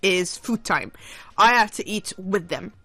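A woman's voice: mid-range voice, a little slow, no room sound. A woman co-hosting a radio show, chatting casually and making her points with emphasis. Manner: animated, emphatic, repeats points. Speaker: radio co-host.

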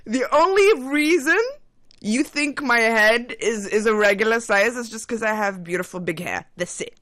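A woman co-hosting a casual chat, laughing often and talking in animated bursts. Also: a little high, normal speed, dry recording, studio quality. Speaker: co-host.

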